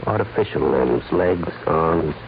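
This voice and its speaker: A man's voice: rumbling low, animated, measured pace, exaggerated voice. An actor playing a boastful, glib gunman who passes as a sweet talker, in 1940s radio crime drama style. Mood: smooth, boastful. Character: cocky, vicious gunman posing as a smooth-talking sweetheart. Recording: noisy, tinny 1940s radio recording.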